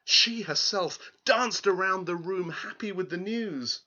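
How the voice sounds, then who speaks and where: A man's voice: high voice, a little slow, bright, forceful tone. A man reading a children's story aloud in a dramatic, emphatic way, on a dull, lo-fi recording.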